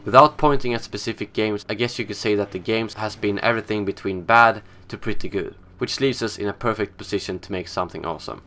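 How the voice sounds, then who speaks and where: A man's voice: on the low side, fast talker, hardly any pauses, fairly bright tone. A young man whose first language is Swedish, hosting a casual, opinionated gaming news show in a scripted style.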